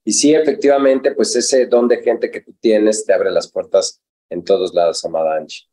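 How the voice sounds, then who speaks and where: A man's voice: lowish voice, steady tone, moderate pace, mellow. Reflective male life coach. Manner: reflective, with frequent fillers and restarts. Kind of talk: conversational interview.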